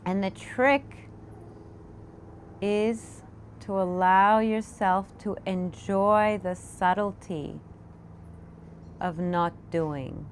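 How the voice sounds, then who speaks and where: A woman meditation teacher leading a guided meditation, giving gentle, unscripted instructions with pauses. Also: medium pitch. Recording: clear background noise, room ambience. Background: room ambience, children in the background.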